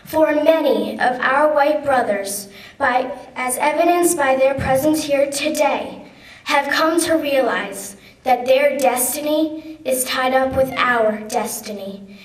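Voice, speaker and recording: A woman's voice: high-pitched, takes it slowly. A young woman, a student, giving a dramatic reading of a historic speech. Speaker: student reader. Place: large echoey room at a public ceremony. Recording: echoey hall, distant microphone.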